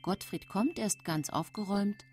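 A woman's voice: medium pitch, moderate pace, a little mellow, soft background music, natural voice. An actress playing a composed, calculating woman in her thirties who narrates her own story in a radio drama, controlled and matter-of-fact. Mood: composed, confiding. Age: 30s.

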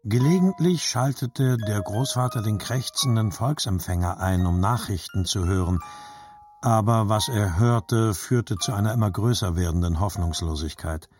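A man's voice: lowish voice, moderate pace, a little mellow, faint music behind, close to an everyday voice. A man narrating scripted literary childhood memories as a grown grandson in an audio drama. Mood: reflective.

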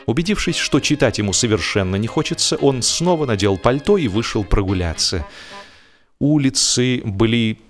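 A man narrating a humorous story as a scripted reading, theatrical in delivery, with drawn-out words and emphatic swings. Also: mid-range voice, lively intonation. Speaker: audiobook narrator. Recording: music behind the voice. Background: music.

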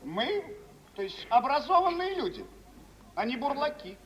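An actor playing a touchy, pompous, jealous fiancé in an old Russian radio drama, speaking in a stiff, prickly, affronted way. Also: male voice, very high-pitched, big pitch swings, measured pace, fairly bright tone, slight background noise, heavily acted. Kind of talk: mid-20th-century Russian radio theatre acting.

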